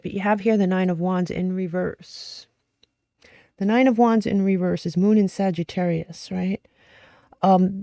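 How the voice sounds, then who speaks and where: Female tarot host, mellow tone, close dry sound, informal and blunt delivery with frequent check-ins. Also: medium pitch, no room sound. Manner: direct, informal, mildly salty, frequent check-in questions. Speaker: tarot reader and astrology host. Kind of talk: casual conversational tarot reading.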